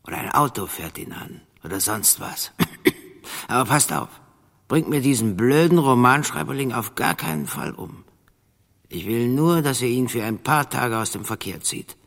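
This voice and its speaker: A cold male crime boss in a radio drama, with a mid-pitched voice. He speaks curtly and with authority, and a long hall reverb hangs on his words. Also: very animated, normal speed, gravelly, a bit bright, full character voice. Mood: cold, commanding. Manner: curt, authoritative.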